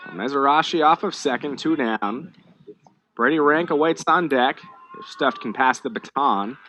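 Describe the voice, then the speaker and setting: An American man calling live baseball play-by-play, conversational between pitches and more animated on the action. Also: unhurried, a little hiss or hum, poor audio. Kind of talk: live sports commentary.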